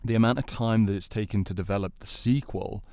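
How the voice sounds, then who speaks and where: A man with a low voice speaks as a panel host in a casual debate. He lays out his points in a measured, explanatory manner. The sound is dry, with very little room in it. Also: steady tone, vocal fry, darkish tone, no echo. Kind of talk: casual panel debate about games and film.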